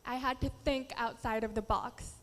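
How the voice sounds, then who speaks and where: A woman's voice: fairly high pitch, fairly even intonation, normal speed, a bit bright. Young Filipina woman speaking in an echoey hall. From Philippines. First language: Tagalog. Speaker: pageant contestant. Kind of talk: prepared speech on a stage.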